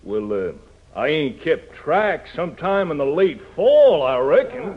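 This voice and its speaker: An actor playing a rough, good-hearted gold miner in a 1950s radio drama, with a folksy American drawl and a hesitant manner. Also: male voice, on the high side, big pitch swings, unhurried. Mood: sheepish. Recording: tinny, noisy 1950s radio recording.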